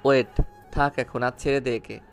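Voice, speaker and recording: A man's voice: medium pitch, steady tone, moderate pace, gravelly, faint music behind, close to an everyday voice. A man playing a brash, conflicted young gangster in an audio story, curt and commanding, dropping in English words.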